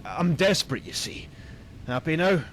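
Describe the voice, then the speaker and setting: A man's voice: fairly high pitch, big pitch swings, normal speed, a bit bright, a little hiss or hum. A man playing a weary, wry and rambling wagon merchant in a fantasy video game. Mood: wry, urging.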